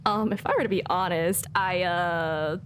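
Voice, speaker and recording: A woman chatting casually as she livestreams a game, playful and expressive, often mock-scolding. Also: lowish voice, measured pace, a little hiss or hum. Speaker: game streamer. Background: game music.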